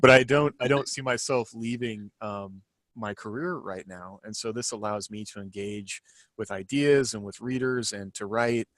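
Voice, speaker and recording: A man's voice: lowish voice, normal speed, a bit bright, no echo. A man co-hosting a casual podcast, rambling and thinking aloud as he talks. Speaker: podcast co-host and co-author.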